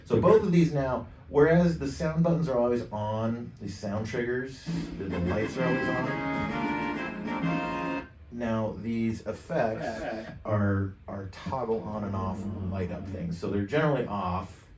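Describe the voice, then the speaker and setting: A man in his fifties giving a casual software tutorial, thinking aloud in an offhand, mildly profane way. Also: medium pitch, unhurried, over music. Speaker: tutorial presenter. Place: indoor home studio desk. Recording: roomy, distant mic, background noise.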